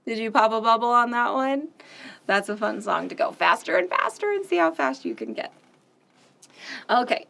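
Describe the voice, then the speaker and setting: Woman storytime host reading picture books to kids, slow and sing-song, slightly high voice. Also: vocal fry. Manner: gentle, slow, sing-song, asks children questions.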